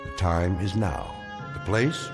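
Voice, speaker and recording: An actor narrating an uncanny radio drama anthology in a measured, portentous way. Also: male voice, sits low. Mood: ominous. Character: ominous, knowing male narrator of uncanny tales. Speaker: narrator of a mystery anthology series. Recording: long reverb, dramatic music behind.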